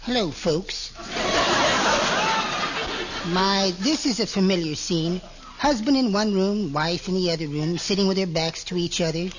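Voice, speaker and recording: A man's voice: high voice, measured pace, pauses often. A man playing a meek, henpecked little husband with sly spite, mild and prim, in 1940s radio comedy style. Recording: vintage radio, muffled with background hiss.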